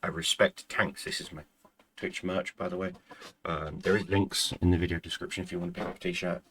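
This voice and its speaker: A man's voice: low voice, little pitch movement, fairly quick, noisy background. A man whose first language is Irish, hosting a gaming channel in casual, rambling chat with viewers and stumbling over restarts as he is losing his voice.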